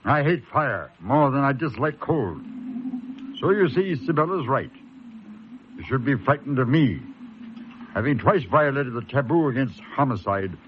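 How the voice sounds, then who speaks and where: An actor playing a cold, self-assured confessed murderer in a radio drama, theatrical and deliberate. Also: male voice, mid-range voice, lively intonation, lightly acted. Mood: cold menace. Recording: dull radio recording with hiss.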